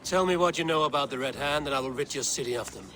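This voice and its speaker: Resolute viking fighter in a game, blunt n grim, noisy outdoor ambience behind. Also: male voice, on the high side, quick pace, bright, forceful tone. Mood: firm, persuasive. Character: resolute male Viking warrior, grim and forthright. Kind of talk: dramatic video game acting.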